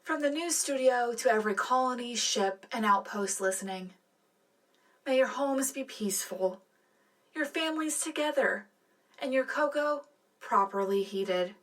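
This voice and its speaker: A woman's voice: on the high side, expressive, a little slow, a bit bright, recorded from a distance. A woman playing a composed news co-anchor in a scripted sci-fi radio broadcast, with polished announcer phrasing. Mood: well-wishing.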